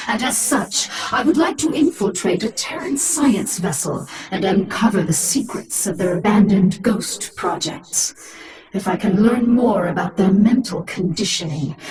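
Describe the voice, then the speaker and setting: A woman's voice: mid-range voice, a little mellow, distant mic. A woman playing a proud, menacing human-alien warrior queen in a video game, commanding, cold and self-assured, with an echoing effect on her voice. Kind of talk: dramatic video game voice acting.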